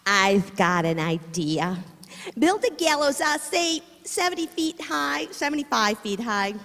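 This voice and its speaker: A woman plays a scheming mobster's wife in a radio drama. She is high-voiced and takes her time, mocking and sly. The sound rings in a hall. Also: big pitch swings, a little slow, creaky, a bit bright, exaggerated voice. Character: sardonic, scheming wife of a gangster villain. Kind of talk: amateur radio-play acting. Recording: echoey reverberant hall.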